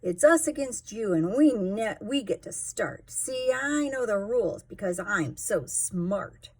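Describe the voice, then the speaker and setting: A woman voicing a bossy, boastful young cheat from a children's storybook, loud and shouting. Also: medium pitch, lively intonation, a little slow, slightly rough. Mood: smug, bossy. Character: bossy, smug, cheating young Frusel bully. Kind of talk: storybook character voice read aloud.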